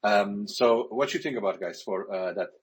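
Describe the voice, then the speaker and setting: A man from Turkey, moderating an online panel with halting speech full of filler sounds. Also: lowish voice. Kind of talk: online audio panel chat.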